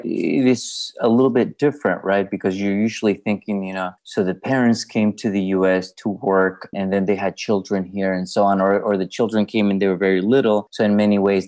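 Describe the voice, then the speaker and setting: Deep-voiced man from Peru, reflective. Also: darkish tone, no echo. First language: Spanish. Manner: reflective, with frequent "you know" and small restarts. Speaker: guest writer and professor. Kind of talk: thoughtful interview answers.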